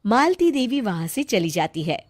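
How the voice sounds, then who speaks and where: A woman's voice: medium pitch, big pitch swings, normal speed, noisy background. A woman giving scripted narration for a cartoon story.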